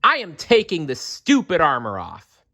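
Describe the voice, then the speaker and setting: A storyteller voicing an excitable, quick-tempered young boy who exclaims and shouts in a storybook. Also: medium pitch, pitched above the performer's own voice, exaggerated voice. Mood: angry, defiant. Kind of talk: storybook character voice.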